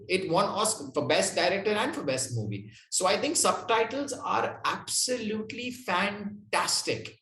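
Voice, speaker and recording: Guy being interviewed, slightly high voice, explains stuff and checks in a lot, roomy echo. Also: a bit bright. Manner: explanatory, often checking with "right?". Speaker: interview guest. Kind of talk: conversational interview answers. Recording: roomy, echoey sound.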